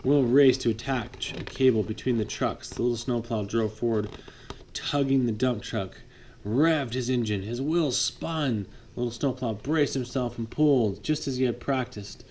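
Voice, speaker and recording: A father reads a storybook aloud to his child in a lively read-aloud manner, now and then stopping to chat. His voice sits in a middle range. A faint hiss of noise lies under the recording. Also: mid-range voice, normal speed. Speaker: father reading a bedtime story. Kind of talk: reading a picture book aloud to a child.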